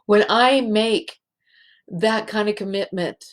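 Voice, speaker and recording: A woman hosting a spiritual show, speaking in a halting, emotional way and close to tears. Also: mid-range voice. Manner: slow, halting and emotional, many restarts. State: teary. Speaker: show host, healing facilitator. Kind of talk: heartfelt spiritual show monologue.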